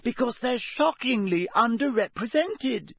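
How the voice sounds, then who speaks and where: An earnest, bossy teenage girl from a storybook, voiced by a man. The pitch is lifted and swings widely as she insists briskly and impatiently, in a close, dry sound. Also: expressive, breathy edge, dry recording. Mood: eager, insistent.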